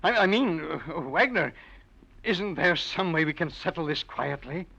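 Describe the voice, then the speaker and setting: An actor playing a proud, irritable college dean who is cornered and guilty, defensive and faltering, in an old-time radio mystery. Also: male voice, on the high side, lively intonation, measured pace, vocal fry, pushed and bright, exaggerated voice. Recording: muffled vintage radio drama recording.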